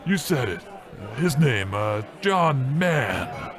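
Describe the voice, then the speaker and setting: An actor playing a shifty, nervous, vain dive-bar bartender with a halting, evasive way of talking, in an old-style radio comedy. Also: male voice, medium pitch, very animated, fairly bright tone, some chatter behind.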